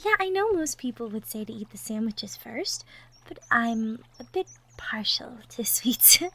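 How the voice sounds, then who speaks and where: A woman playing a gentle, cheerful young cottagecore woman from the woods in an intimate roleplay audio, soft-spoken, giggly and sweet. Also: a little high, animated, measured pace, full character voice. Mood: gentle, welcoming. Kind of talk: roleplay audio drama, intimate one-sided conversation.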